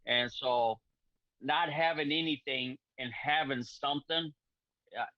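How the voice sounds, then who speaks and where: A middle-aged man from Portugal, a repair shop owner and guest on a video call, speaking in halting, repetitive friendly sentences. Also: mid-range voice, dry recording. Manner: halting, repeats words, friendly. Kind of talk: casual video-call chat. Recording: dull lo-fi video-call audio.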